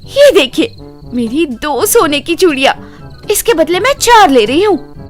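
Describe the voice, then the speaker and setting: A woman's voice: high-pitched, measured pace, faint music behind. A woman voicing a greedy, gushing young daughter-in-law in a cartoon moral story, eager and melodramatic. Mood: gleeful, greedy. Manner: excitable, eager, exclaiming melodramatically.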